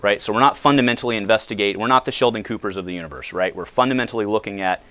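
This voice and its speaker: Loud casual man talking to a class. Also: lowish voice, rapid-fire. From United States. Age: 30s. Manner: loud, casual, asks the audience questions. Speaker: guest speaker. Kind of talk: talk to high school students. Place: high school classroom.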